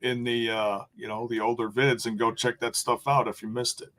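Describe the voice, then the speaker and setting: A man co-hosting a casual wrestling podcast, playful and jokey, with sudden loud bursts. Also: mid-range voice, flat delivery, moderate pace. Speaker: podcast co-host.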